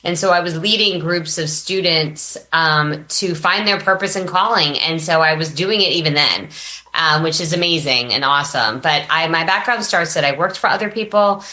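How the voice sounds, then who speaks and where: A woman guest on a podcast telling personal stories casually, chatty and animated, acting out bits of dialogue. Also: low voice, moderate pace. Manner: animated, chatty, quotes herself and others in dialogue. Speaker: podcast guest, business coach. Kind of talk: casual personal storytelling in an interview.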